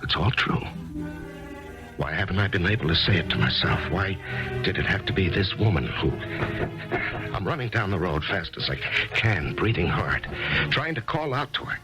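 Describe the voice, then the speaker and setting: A deep-voiced, weary middle-aged writer, lost in grief and brooding aloud in an old radio play. His speech rises and falls widely, and he often stops halfway through a thought. The broadcast sounds dull and dated, with music running under it. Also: very animated. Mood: shaken. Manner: weary, halting, self-pitying. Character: grieving, worn-out failed author, middle-aged man. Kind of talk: 1970s radio drama acting with inner narration.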